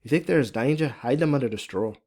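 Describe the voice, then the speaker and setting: A man performs a kindly Irish farm wife from a storybook. She talks in hearty country dialect, pitched well above a man's usual talk. Her temper is brisk, warm and wry. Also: lightly acted. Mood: brisk, advising. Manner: folksy, hearty dialect speech.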